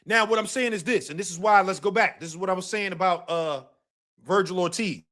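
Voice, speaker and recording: A man hosting a live boxing talk stream, talking casually and emphatically, repeating his points, swearing and bursting into shouts. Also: high-pitched, fairly quick.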